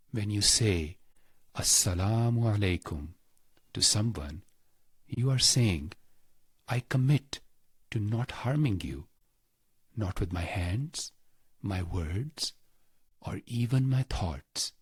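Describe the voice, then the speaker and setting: A man with a husky, breathy voice guides a scripted meditation in short, deliberate phrases, solemn and devotional. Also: lowish voice, expressive, dry recording. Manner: slow, deliberate, pausing between short phrases.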